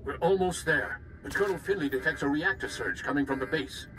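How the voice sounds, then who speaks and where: An actor playing a grave, commanding Jedi commander in a video game, measured and serious. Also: male voice, on the high side, bright, forceful tone, slight background noise. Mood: urgent. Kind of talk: video game cutscene acting. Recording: music behind the voice.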